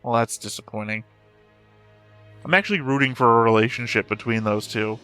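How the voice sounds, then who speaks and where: A man's voice: medium pitch, fairly quick, a bit bright, smooth, faint music behind. A man giving casual, blunt reaction commentary as the host of a reaction video.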